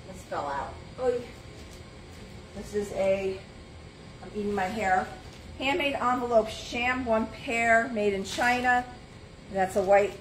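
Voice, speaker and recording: A middle-aged woman hosting a live antiques sale from a shop booth, chatting casually as she reads out items, sizes and prices. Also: mid-range voice. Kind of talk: live sales stream, casual item pitching. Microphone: phone or camera on a stand, moving around in frame. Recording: roomy shop sound with background noise.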